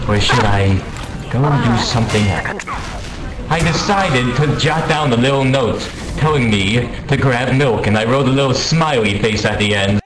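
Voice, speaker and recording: A man telling a mock-dramatic comic story over game voice chat, pompous and deliberate, with profanity thrown in. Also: medium pitch. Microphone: gaming voice chat microphone. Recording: roomy, noisy game voice-chat audio.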